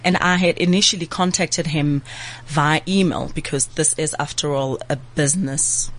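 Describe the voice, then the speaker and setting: A woman host chatting casually, a bit nasal and stuffy from a flu, animated and quick to restart her sentences. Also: deep voice, rough recording. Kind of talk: casual chat.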